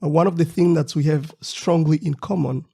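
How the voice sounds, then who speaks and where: A man co-hosting a podcast, explaining things in a steady way with pauses. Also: on the high side, creaky, husky. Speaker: podcast co-host. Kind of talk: casual podcast chat.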